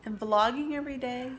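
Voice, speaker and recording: A woman in her forties, stuffed up from allergies, talking softly and confidingly in a personal vlog, sometimes dropping to near-whispers. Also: high-pitched, slight background noise. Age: 40s. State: congested from allergies. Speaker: vlogger. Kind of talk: reflective personal vlog talk. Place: sitting indoors at home.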